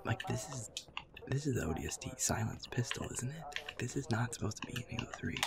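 A man playing a sweet, playful young werewolf boyfriend in an intimate audio roleplay, casual and affectionate, with a husky, breathy, gravelly voice often near a whisper. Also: medium pitch, lively intonation, fairly quick, heavy background noise. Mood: focused, frustrated. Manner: casual, swearing, chuckling, affectionate murmuring.

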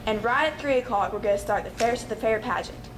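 A woman's voice: a little high, fast, background noise. A young woman reading fair event times in a conversational scripted skit.

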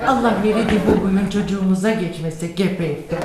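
An actress playing the fussy, scolding pious wife of an old woodcarver in a comedy sketch. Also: female voice, medium pitch, fairly quick, over a noisy crowd, lightly acted. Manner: scolding, chatty, laughs through lines. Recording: roomy studio with audience and hiss.

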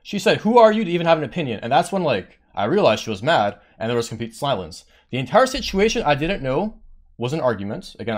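Agitated man ranting to his stream. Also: medium pitch. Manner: heated, petty, swearing, quotes others in raised voice; says he has a monotone voice.

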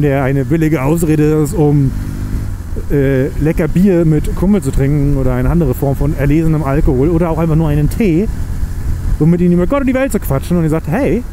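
A man's voice: a little high, mellow. Chatty man riding a motorcycle. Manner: rambling, chatty and self-mocking. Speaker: motovlogger. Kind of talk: casual chat while riding. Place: on a motorcycle in city streets. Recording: faint engine and road noise.

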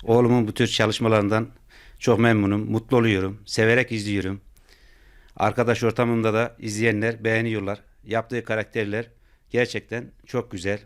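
A middle-aged man gives plain, informal interview answers. His voice is fairly low and very smooth, with little movement in pitch. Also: flat delivery, normal speed. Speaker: parent being interviewed.

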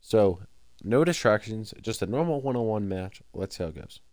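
A young man with a stuffy nose doing excitable play-by-play commentary over a wrestling video game, breaking into loud exclamations, recorded at home on a desk mic. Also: on the low side, normal speed, no echo. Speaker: gameplay commentator.

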